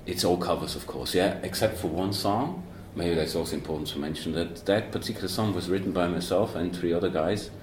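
A man with a very deep, low voice talks in a casual, halting way, full of fillers and restarts and the odd joke. The sound is roomy, with faint ambience of a coffee room behind him. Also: slight background noise. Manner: hesitant, many fillers and restarts, joking. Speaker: band member and guest. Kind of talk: casual interview chat. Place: university student coffee room.

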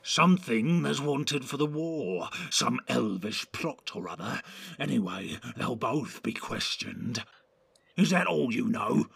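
Snappish, raspy, quarrelsome orc. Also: male voice, medium pitch, animated, slow pace, fairly bright tone, no echo, heavily acted. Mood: questioning, grumbling. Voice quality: harsh, raspy. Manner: snappish, grumbling. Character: quarrelsome, bloodthirsty, impatient rank-and-file orcs. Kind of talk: audiobook character voice.

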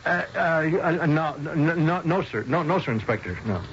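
An actor as an exasperated, animated police lieutenant. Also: male voice, on the high side, moderate pace, natural voice. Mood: flustered, deferential. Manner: flustered, hesitant stammering, sarcastic. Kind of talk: old-time radio mystery drama acting. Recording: dull, noisy old radio broadcast recording.

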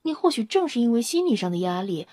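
A woman's voice: on the high side, sing-song, fast talker, dark tone, no room sound, clean studio sound. A woman playing a brash, fiery, outspoken female vampire scientist in an audio drama, with an emphatic, informal manner. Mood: eager, explaining. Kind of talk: Chinese audio drama acting.

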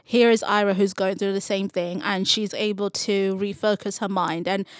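A woman's voice: mid-range voice, fairly even intonation, normal speed, no room sound, studio quality. A woman co-hosting a casual Bible study chat, speaking earnestly and reflectively with playful asides.

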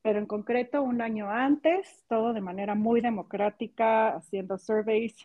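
A woman's voice: a little high, fairly even intonation, moderate pace, phone-quality sound. A woman in her forties, a podcast guest, chatting casually and telling a story, full of fillers. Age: 40s.

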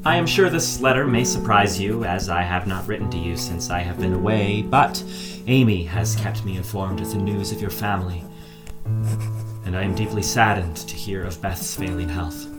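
A man playing a lovesick, moody young gentleman in a period audio play, with formal old-fashioned diction. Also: deep voice, moderate pace, natural voice. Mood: earnest, sorrowful. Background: plucked guitar music.

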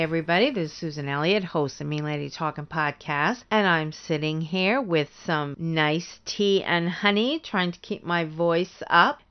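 A woman's voice: fairly low pitch, clean tone, dull audio. A woman hosting a solo podcast, explaining advice informally and speaking directly to her listeners. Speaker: podcast host; grief therapist and author.

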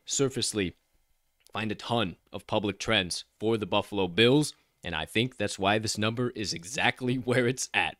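A man's voice: fairly low pitch, fairly bright tone, no echo, studio quality. Emphatic man giving betting picks. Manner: emphatic, preachy, repeats catchphrases. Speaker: sports betting co-host. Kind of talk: energetic betting picks chat.

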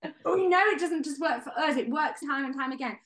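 A woman co-hosting a podcast, talking in a chatty, enthusiastic way with a slightly high voice. Her voice is going hoarse as she loses it. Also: a little fast, fairly bright tone. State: losing her voice. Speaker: podcast co-host. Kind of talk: casual podcast chat.

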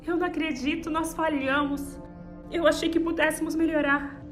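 A woman's voice: high-pitched, fairly even intonation, normal speed, music in the background, natural voice. A woman playing a skeptical, intense scientist in an audio drama, questioning insistently and earnestly. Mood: shaken. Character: skeptical, probing female scientist, troubled and intense.

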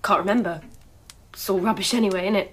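A woman plays a sulky young kitchen helper in a radio drama. Her mid-pitched voice is offhand and curt, evasive in casual speech, with faint noise behind it. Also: fast, lightly acted.

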